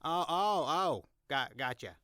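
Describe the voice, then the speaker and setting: A man's voice: fairly high pitch, lively intonation, slow, sharp tone, clear, resonant tone, no room sound, clean studio sound, exaggerated voice. A man playing a casual, nosy, slightly dim gang enforcer who rambles in an audio drama. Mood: curious, awkward. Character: laid-back, nosy, dim-witted gangland enforcer.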